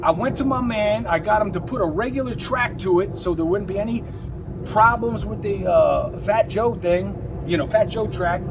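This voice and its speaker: A man with a husky voice telling stories casually as a rapper being interviewed, rambling and slangy, often saying "you know.". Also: high voice, moderate pace, noisy background.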